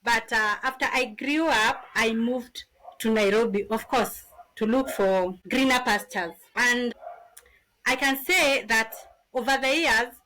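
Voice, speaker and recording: A woman in her thirties from Kenya with a Kenyan accent, a podcast guest telling her story in an animated, casual way. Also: on the high side, unhurried, slight background noise, low-quality recording. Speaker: podcast guest, business founder.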